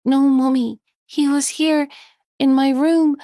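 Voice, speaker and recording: A woman's voice: sits high, fairly even intonation, slow, dark tone, no echo, studio quality, voice pushed up. A woman voicing a small, frightened young girl who speaks earnestly and insistently.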